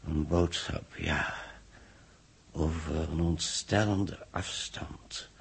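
An actor playing a grave astronomer in a 1960s Dutch radio drama, speaking in a measured, solemn way with dramatic pauses. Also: male voice, extremely low pitch, steady tone, vocal fry, breathy edge, heavily acted. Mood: solemn, foreboding. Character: grave male astronomer warning of solar catastrophe. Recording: old 1960s radio recording.

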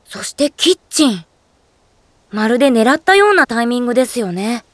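A woman playing a gentle young hero in an anime audio drama, speaking politely and earnestly. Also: fairly high pitch, very animated, moderate pace, no echo, studio quality. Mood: thoughtful. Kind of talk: Japanese anime drama CD acting.

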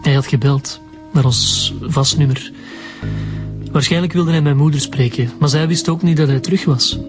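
Man playing young flemish suspect, direct, tense, fairly high voice, radio play. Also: normal speed, over music, lightly acted. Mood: frank, tense. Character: frank, tense young man questioned by police. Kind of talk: radio drama acting.

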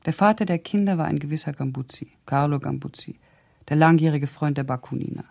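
Husky, gravelly older woman. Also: low-pitched, darkish tone. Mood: sardonic, confiding. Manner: blunt, sardonic, deliberate storytelling. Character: older Russian woman, a revolutionary's loyal, indignant old friend. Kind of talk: 1970s German radio drama, recounting memories.

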